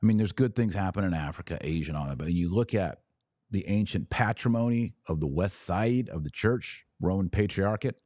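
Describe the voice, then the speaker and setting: A man with a very low voice speaks close and dry, like a podcast host thinking aloud. He gives religious commentary in a casual, colloquial style, leaning on short, punchy phrases that he repeats. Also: brisk, a little mellow, no room sound.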